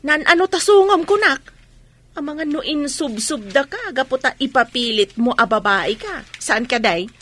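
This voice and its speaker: A woman's voice: high voice, lively intonation, normal speed, a bit bright. A woman with an Ilocano accent playing a nosy, scolding, teasing village shopkeeper in a comedy radio drama.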